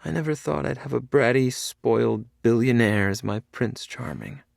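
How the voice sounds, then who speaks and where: A man's voice: medium pitch, moderate pace, vocal fry, no room sound, clean studio sound, pitched above the performer's own voice. A man voicing a frail, sick young woman who is tearful and pleading.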